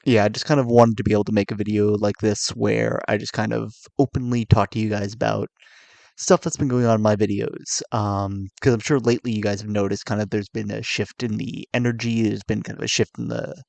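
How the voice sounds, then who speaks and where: A tired young man in his twenties, coming down with a cold, with a low raspy voice, talking hesitantly and candidly to his viewers. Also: flat delivery, a little fast, no echo. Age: 20s. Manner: hesitant, soft-spoken, many fillers and restarts. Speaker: YouTuber. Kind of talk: candid confessional vlog.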